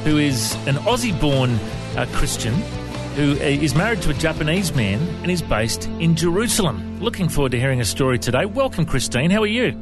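A man's voice: fairly high pitch, big pitch swings, fairly quick, over music. A man hosting a religious interview show, asking short friendly questions. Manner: friendly, brief prompts. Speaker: interview host.

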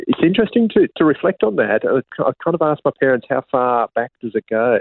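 A man's voice: lowish voice, no room sound. A man in his fifties with an Australian accent, a school principal chatting as an interview guest in a friendly, rambling way with many restarts. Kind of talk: casual interview talk. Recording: tinny phone-like line, dry.

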